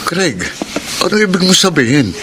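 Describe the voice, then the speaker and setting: An earnest, polite young worker. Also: male voice, high voice, sing-song, moderate pace, noisy background. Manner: polite, earnest, flustered under pressure. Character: earnest, polite married male worker far from home. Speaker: lead, a migrant worker. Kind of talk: classic radio drama acting.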